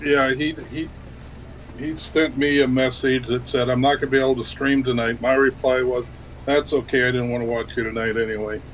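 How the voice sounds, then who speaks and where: A man's voice: medium pitch, level tone, a little fast, slight background noise, poor audio. A man in casual chat with a dry, teasing manner.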